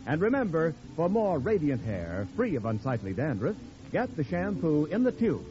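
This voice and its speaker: A man's voice: fairly high pitch, huge pitch swings, normal speed. A man announcing in 1940s radio style, with a polished, carefully enunciated sales delivery. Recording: dull vintage radio recording.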